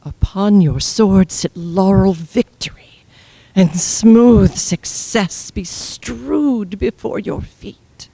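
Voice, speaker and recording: A woman's voice: mid-range voice, vocal fry, warm tone, slightly rough, dry recording, heavily acted, poor audio. An actress playing a proud, lovesick, volatile queen in a classical Shakespearean stage style, declaiming with theatrical hurt. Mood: halting, sorrowful. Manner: declamatory, mercurial, deliberate dramatic delivery.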